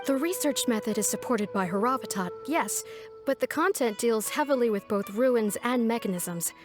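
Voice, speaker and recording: High-voiced, polite woman academic administrator played by actress. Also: animated, natural voice. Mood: polite, explanatory. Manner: formal, measured, diplomatic. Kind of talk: video game voice acting. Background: music.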